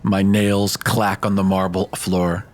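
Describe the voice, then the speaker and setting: A man's voice: low voice, little pitch movement, slow. A man playing a tabletop game, improvising in a casual, reflective, conversational way. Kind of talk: casual improvised role-play chat. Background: music.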